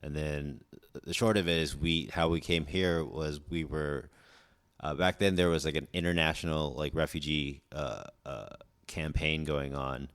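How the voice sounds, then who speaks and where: A man in his forties with an extremely low, deep voice chats casually as a podcast guest. He rambles in a laid-back way, with lots of "like" and "dude". He grew up in Southern California after being born in Indonesia. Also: steady tone, unhurried, fairly bright tone, clean tone. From born in Indonesia, raised in Southern California. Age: 40s. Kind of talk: casual chat.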